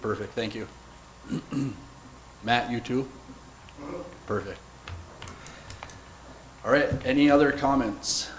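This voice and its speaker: A man serving as mayor, chairing a city council meeting in a brisk, procedural way. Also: mid-range voice. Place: council chamber. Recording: noisy council chamber recording.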